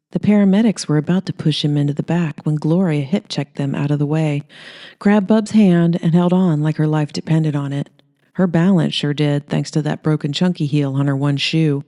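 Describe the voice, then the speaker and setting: A storyteller narrates a scripted comic horror audiobook. The voice is mellow and relaxed, sitting at a middling pitch.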